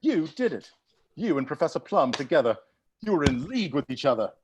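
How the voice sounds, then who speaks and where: A man playing a brisk, theatrical butler who unravels murders with animated, accusing declamation in a read-through of a film script. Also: a little high, noisy background.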